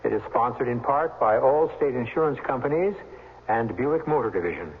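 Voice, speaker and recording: A man's voice: mid-range voice, lively intonation, normal speed, husky. A man narrating as host of a 1970s radio drama, in a dramatic scripted style. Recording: tinny 1970s radio over music.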